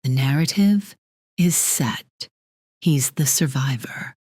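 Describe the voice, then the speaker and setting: An actress playing a cold, controlling corporate executive in an audio drama, speaking in a clipped, deliberate, imperious way with a breathy edge. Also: female voice, extremely low pitch, dark tone, no echo, clean studio sound, full character voice. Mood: bitter, contemptuous. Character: cold, controlling female corporate executive, divorced, armor cracking.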